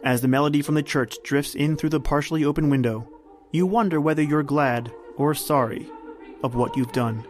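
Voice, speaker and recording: A man's voice: fairly high pitch, normal speed, faint music behind. A man hosting and narrating a scripted old-time radio style suspense drama, close to the mic. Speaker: podcast host and narrator.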